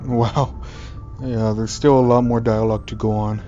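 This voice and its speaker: A man's voice: lowish voice, little pitch movement, normal speed, dark tone. A man giving casual commentary as he plays through a video game. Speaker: gamer commentating a playthrough. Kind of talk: casual let's play commentary. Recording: dull lo-fi mic, faint game music.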